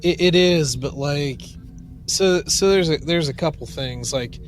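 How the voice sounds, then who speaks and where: A man's voice: fairly high pitch, measured pace, soft background music. A man chatting casually as a podcast co-host, tentatively repeating and rephrasing as he goes.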